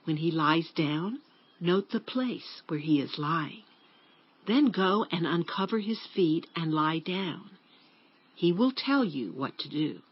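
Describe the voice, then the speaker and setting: Husky older mother-in-law played by a woman, caring and instructive, biblical audio drama, dull recording. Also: low voice, expressive, slow, full character voice. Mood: gentle, instructing. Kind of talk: dramatized Bible audiobook acting.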